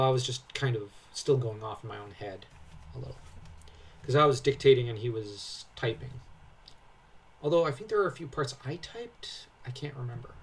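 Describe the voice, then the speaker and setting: A young man with a somewhat husky voice speaks at middle pitch, a little away from the microphone. He chats casually as a co-host, enthusiastic and prone to wandering off on tangents. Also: recorded from a distance. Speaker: co-host commentator. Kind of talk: casual lore breakdown commentary.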